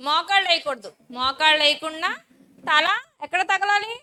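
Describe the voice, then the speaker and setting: A woman's voice: very high-pitched, moderate pace, pushed and bright, a little hiss or hum. A woman, a Sunday school teacher instructing and leading children firmly in short phrases.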